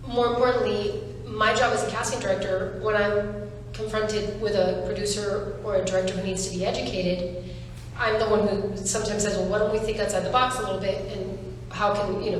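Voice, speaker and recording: A woman casting director speaking earnestly on a panel, pausing with fillers and restarting her thoughts. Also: medium pitch, slight background noise. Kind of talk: panel discussion. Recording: echoey hall, far from mic.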